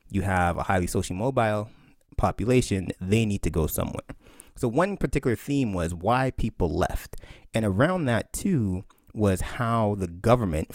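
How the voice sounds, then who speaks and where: A man in his thirties, an author answering interview questions in an animated way, laughing often and acting out quotes. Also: lowish voice, no echo, clean studio sound. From Canada. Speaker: author and historian.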